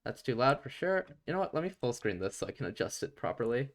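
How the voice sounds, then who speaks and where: A man streaming a story game, reading aloud quietly and chatting with his viewers. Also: sing-song, fast talker. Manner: quiet, low reading voice that breaks into loud laughing chatter.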